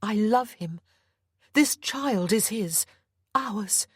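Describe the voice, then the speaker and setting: A woman's voice: medium pitch, animated, extremely slow, slightly rough, no echo, clean studio sound, pitched above the performer's own voice, full character voice. A woman reading the part of a young mother standing up to her captor, firm and earnest. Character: young mother, defiant and composed.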